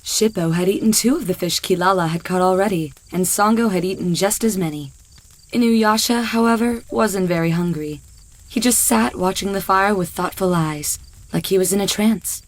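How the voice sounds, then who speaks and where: A woman's voice: mid-range voice, moderate pace, low-quality recording. A woman narrator reading a scripted story as part of an audio drama.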